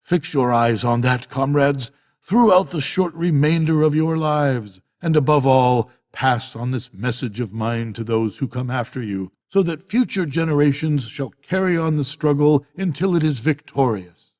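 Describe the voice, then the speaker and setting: An older man reading a storybook dramatically, voicing an elderly boar as a solemn revolutionary orator, with emphatic delivery, rhetorical pauses and long reverb. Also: mid-range voice, lively intonation, darkish tone, lightly acted. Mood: grave, accusing. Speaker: audiobook reader. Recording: long reverberant hall-like echo.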